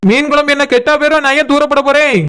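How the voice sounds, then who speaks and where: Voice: high voice, flat delivery, quick pace, very noisy, natural voice. A performer voicing a cheeky, stubborn cartoon food-stall seller who hawks and bargains emphatically in a Kanyakumari Tamil accent. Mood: defiant. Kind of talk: comic cartoon dialogue.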